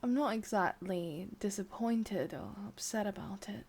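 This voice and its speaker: A woman with an English accent voicing a sadistic, lovesick witch in a whispered, intimate ASMR roleplay, murmuring slowly and haltingly. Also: mid-range voice, a little mellow. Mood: wistful, melancholy. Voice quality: breathy, whispery. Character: sadistic, lovesick young witch, wistful and possessive.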